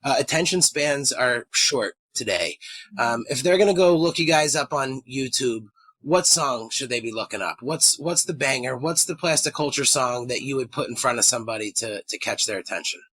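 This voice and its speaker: A man in his thirties co-hosts a casual podcast. His voice sits at a middle pitch and comes through dry and close. He rambles through questions with plenty of fillers and jokes. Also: normal speed, fairly bright tone, dry recording. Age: 30s. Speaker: podcast co-host. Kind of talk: casual interview chat.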